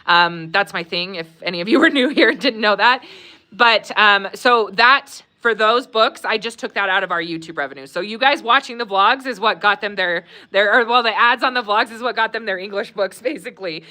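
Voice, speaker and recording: A woman's voice: mid-range voice, moderate pace. A woman hosting a live Q&A stream, chatting casually with many fillers, laughing often and reading viewer comments aloud. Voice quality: warm, conversational, many fillers.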